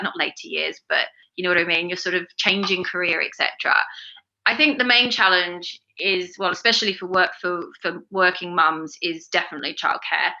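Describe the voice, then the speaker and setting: A woman's voice: fairly low pitch, bright, forceful tone, highs cut off. A woman in her forties with an Australian accent, talking casually as an interview guest, rambling with many fillers and restarts. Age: 40s. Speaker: interview guest, fashion brand founder.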